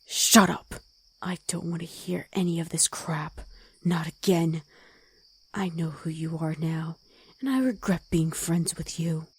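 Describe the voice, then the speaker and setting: Woman acting a gruff wolf girl, rough husky voice, commanding, protective, often hushed, ASMR roleplay. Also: deep voice, a little slow, full character voice. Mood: angry, defiant. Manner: stern, commanding, often dropping to a hush.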